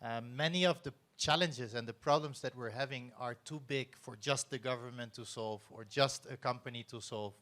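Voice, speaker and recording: Dutch-accented man, measured panel talk. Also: medium pitch, fairly bright tone. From Netherlands. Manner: measured, explanatory, with many fillers. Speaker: panelist, former corporate CEO. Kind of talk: panel discussion answer.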